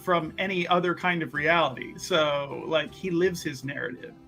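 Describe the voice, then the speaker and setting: A man's voice: a little high, moderate pace, pushed and bright, faint music behind. A man playing a tabletop roleplaying game, rambling in self-assured, improvised banter and casual table talk.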